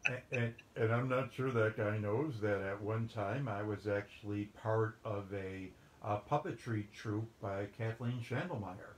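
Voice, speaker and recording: A man's voice: lowish voice, fairly even intonation, a little slow, few pauses. Chatty male interviewer, stumbling starts, echoey room with faint background hum. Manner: chatty, repeats words, laughs often. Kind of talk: casual interview.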